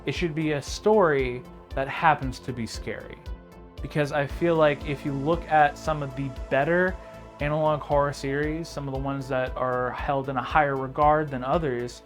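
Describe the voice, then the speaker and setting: A man's voice: a little high, steady tone, moderate pace, faint music behind. Casual, sardonic young man doing commentary. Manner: informal, sardonic, with joking asides. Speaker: video essayist. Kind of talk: casual scripted video essay commentary.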